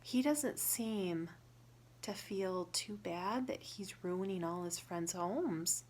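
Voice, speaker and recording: A woman reading a picture book aloud to children in a teacherly way, pausing to ask questions and explain words simply. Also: on the low side, darkish tone, a little hiss or hum.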